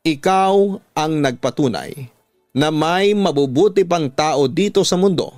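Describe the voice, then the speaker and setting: A man's voice: fairly high pitch, animated, a little slow, dry recording, soft background music. A man with a Filipino accent reading a scripted, dramatic first-person life story as a radio storytelling host.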